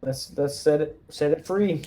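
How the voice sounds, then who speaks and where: A man's voice: fairly high pitch, a little slow, warm tone, slight background noise. A man talking casually as a player in a tabletop roleplaying game.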